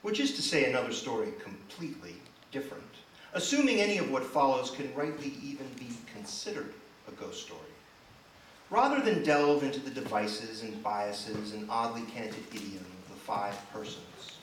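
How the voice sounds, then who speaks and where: Male stage narrator, formal and measured but animated in pitch, heard from afar in a very echoey hall. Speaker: reader in a live staged reading. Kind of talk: scripted literary narration performed on stage. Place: live theater performance. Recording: echoey hall, distant, faint noise.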